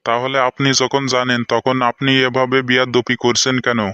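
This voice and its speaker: Man voicing a stern, even-toned cartoon interviewer. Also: mid-range voice, moderate pace, clear, resonant tone, no echo, dull audio, natural voice. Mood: stern, exasperated. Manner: scolding, formal questioning. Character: stern, exasperated male job interviewer. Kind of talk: comic cartoon dialogue.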